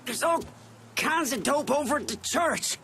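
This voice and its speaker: Shrill agitated street thug. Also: male voice, lively intonation, a little slow, sharp tone, a little hiss or hum, exaggerated voice. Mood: pleading. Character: frightened male street thug giving up information. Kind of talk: cinematic game dialogue.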